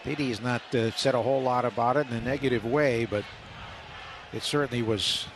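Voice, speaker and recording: A man's voice: medium pitch, a little fast. A man adding unscripted commentary to a live radio baseball broadcast. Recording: noisy ballpark radio broadcast.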